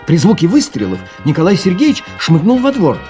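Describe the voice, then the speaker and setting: A man's voice: sits high, wildly swooping pitch, brisk, mellow, faint music behind. A man reading scripted literary narration for an audio play, telling the story expressively.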